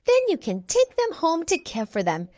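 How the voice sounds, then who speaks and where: A woman's voice: extremely high pitch, huge pitch swings, moderate pace, no room sound, lo-fi sound, voice pushed up, heavily acted. A woman voicing a kind, encouraging preschool teacher in a storybook, explaining patiently to small children.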